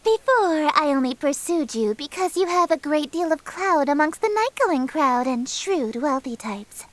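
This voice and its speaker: A woman's voice: high-pitched, lively intonation, moderate pace, no room sound. A woman playing a regal eldritch queen of chaos in a game, speaking formally and imperiously with menacing sweetness and theatrical condescension. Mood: grandiose, cold. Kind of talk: visual novel game character acting.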